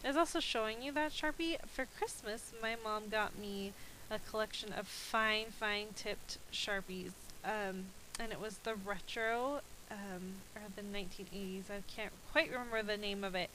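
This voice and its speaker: A woman's voice: medium pitch, moderate pace, sharp tone, a little hiss or hum, low-quality recording. A woman with a slightly stuffy, nasal voice from a cold, chatting casually with frequent ums as she narrates a voice-over about her crafting. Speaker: scrapbooking video creator.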